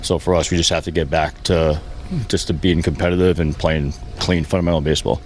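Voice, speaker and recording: Low, level-voiced man at press conference. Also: fairly even intonation, fairly quick. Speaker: baseball team manager. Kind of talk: post-game press conference answers. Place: post-game press session with reporters. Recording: faint background noise at press session.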